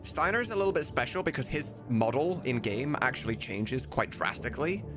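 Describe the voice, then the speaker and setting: A man's voice: mid-range voice, lively intonation, normal speed, music in the background. A man hosting a casual livestream as a mod developer, rambling, blunt and sweary as he thinks aloud. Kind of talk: casual livestream chat while demonstrating.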